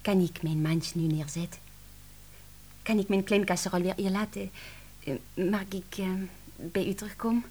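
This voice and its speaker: An actress playing an excitable, principled young French cook with a French accent, dramatic and indignant, in a radio drama. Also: female voice, mid-range voice, moderate pace, slight background noise, natural voice. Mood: humble, pleading. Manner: dramatic, indignant, sprinkles speech with French exclamations.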